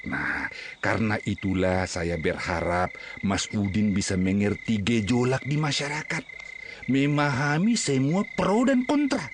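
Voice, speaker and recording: A kindly male neighborhood head speaks in formal, wordy drama style. His low voice swings expressively, with clear cricket and frog noise around it. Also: animated, a little slow. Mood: earnest.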